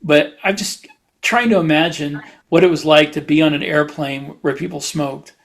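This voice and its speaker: Middle-aged man with a slightly high voice. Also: fairly even intonation, moderate pace. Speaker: podcast co-host, science fiction author. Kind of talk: casual chat.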